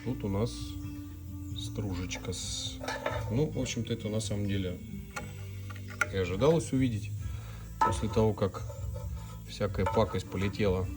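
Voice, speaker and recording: A hoarse man with a lowish voice explains engine damage in a plain, matter-of-fact way. He talks like a working mechanic showing his bench. Music plays clearly behind him. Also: normal speed, pauses often. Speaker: auto mechanic, channel host. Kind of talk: casual repair walkthrough. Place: car repair garage.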